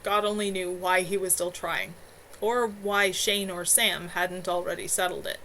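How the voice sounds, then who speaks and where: A woman's voice: lowish voice, fairly even intonation, unhurried, bright, forceful tone, slight background noise. A woman reading her own novel aloud live from home, in a plain, unpolished reading manner. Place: home room.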